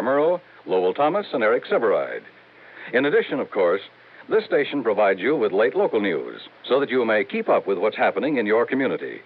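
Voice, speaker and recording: A man reading a script as a polished, formal 1950s radio announcer. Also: mid-range voice. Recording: tinny, faintly noisy 1950s radio.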